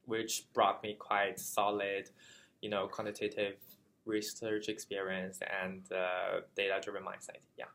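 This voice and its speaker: Bright-voiced Chinese man, halting and careful. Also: on the low side, monotone. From China. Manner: careful, halting with many fillers. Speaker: graduate student. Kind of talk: interview answers.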